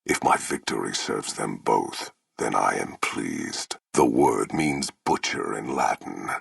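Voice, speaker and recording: An actor playing a merciless, imperious warlord in a video game, with a gravelly, husky voice and grandiose, menacing, formal speech. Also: male voice, extremely low pitch, lively intonation, takes it slowly, fairly bright tone, no room sound, clean studio sound, full character voice. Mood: grim, imperious. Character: merciless, imperious warlord commanding a slave army. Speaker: army commander. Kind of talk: theatrical video game villain dialogue.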